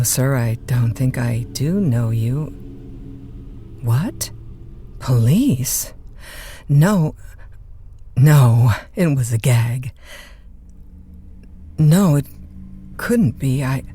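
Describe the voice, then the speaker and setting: A woman's voice: a bass voice, warm tone, slight background noise. A woman playing a polite, flustered overnight radio host in an audio drama, folksy and courteous in manner. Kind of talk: naturalistic radio drama acting.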